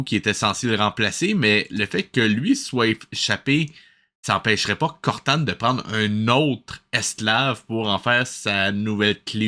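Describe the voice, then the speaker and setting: A man's voice: lowish voice, sharp tone. A man with a Québécois accent, hosting a casual cartoon review, exasperated and sarcastic and dropping English words into his talk. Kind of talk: casual commentary.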